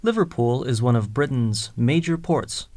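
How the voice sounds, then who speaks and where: A man reading scripted lines for a listening exercise in an even, clearly enunciated way. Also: mid-range voice, moderate pace, no room sound.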